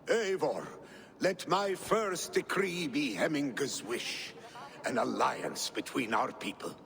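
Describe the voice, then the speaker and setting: An actor playing a humble old Viking jarl with a gravelly voice in a video game. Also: male voice, a little high, takes it slowly, a bit bright, in a large hall, exaggerated voice. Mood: solemn.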